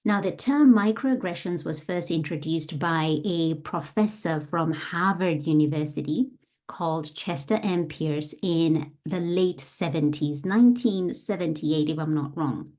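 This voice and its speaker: Low, mellow woman hosting a podcast. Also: a little slow. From Australia. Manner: earnest, explanatory, speaks directly to the listener. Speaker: podcast host. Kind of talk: solo podcast monologue.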